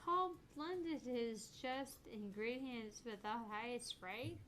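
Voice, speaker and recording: Brief: female game streamer, bright high voice, unhurried, crude joking banter. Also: expressive, faint music behind. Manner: foul-mouthed, bantering.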